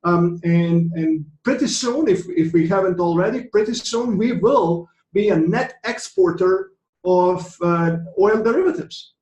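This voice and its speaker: A man's voice: high voice, measured pace, a little mellow, echoey room, low-quality recording. A man from Croatia with a Croatian accent, giving a conference presentation as an economics professor, lecturing with frequent fillers and restarts. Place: conference hall.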